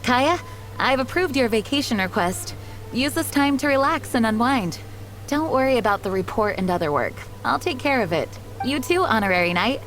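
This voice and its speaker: A woman's voice: fairly high pitch, lively intonation, normal speed, a bit bright, soft background music. A woman voicing a responsible, considerate young commander in a video game, composed and formal in manner. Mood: reassuring. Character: responsible, kindly young female acting commander.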